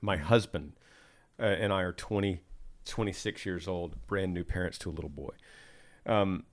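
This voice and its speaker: Male podcast host, fairly low voice, relaxed conversational delivery. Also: normal speed. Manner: relaxed, with soft filler sounds and restarts. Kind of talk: conversational podcast, reading listener questions.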